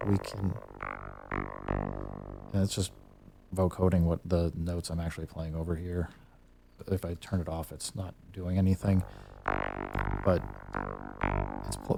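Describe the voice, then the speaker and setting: Male tutorial host: deep, mellow tone, casual and unscripted, thinks aloud as he demonstrates. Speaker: host of a music gear tutorial series.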